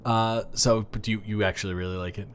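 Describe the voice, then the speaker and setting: A man's voice: on the low side, steady tone, quick pace, a little hiss or hum, natural voice. A man improvising a romantic audio roleplay as a flirty, playfully boastful neighbor, talking straight to the listener in a teasing, stammering, rambling way. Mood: teasing, sincere.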